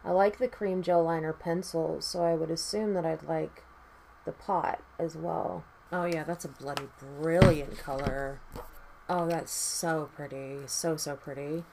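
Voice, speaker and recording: Female makeup vlogger, low raspy voice, tired, rambling casual chat with easy swearing. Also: steady tone, unhurried, noisy background.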